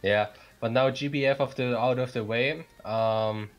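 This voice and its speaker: A man's voice: mid-range voice, steady tone, unhurried, soft background music. Curious, teasing man in gaming chat. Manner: curious, teasing, asks lots of questions. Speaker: podcast co-host.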